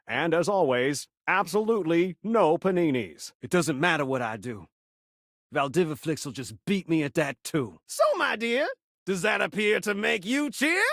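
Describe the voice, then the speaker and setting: A man's voice: sits high, dry recording, studio quality. A man voicing a video game talking television, a flamboyant showman who speaks in theatrical rhymes with a self-pitying, dramatic streak. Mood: dejected, self-pitying, then playful showboating. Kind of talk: video game character voice acting.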